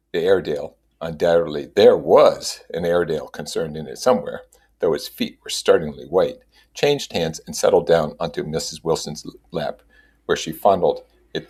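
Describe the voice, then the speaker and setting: A man's voice: a bass voice, normal speed, a little mellow, breathy edge. A man reading a novel aloud as narrator, adding his own comments. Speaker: reader and commentator.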